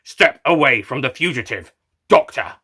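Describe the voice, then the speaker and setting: Stern alien law enforcer, done by a male narrator: officious, commanding, forceful delivery for sci-fi audio. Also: medium pitch, expressive, moderate pace, breathy edge. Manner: blunt, formal, commanding.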